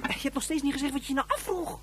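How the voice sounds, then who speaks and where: An impatient, chatty little boy in a radio play, acted by a woman, high and very quick, heaping up exaggerations. Loud babble runs behind the voice. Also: over a noisy crowd, lightly acted. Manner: piles up exaggerated adverbs. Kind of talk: radio drama acting.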